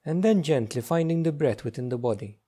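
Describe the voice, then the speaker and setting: A man leading a guided meditation as a teacher, speaking gently and slowly with long pauses. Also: on the high side, animated, husky. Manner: slow, hushed, gentle delivery with long pauses.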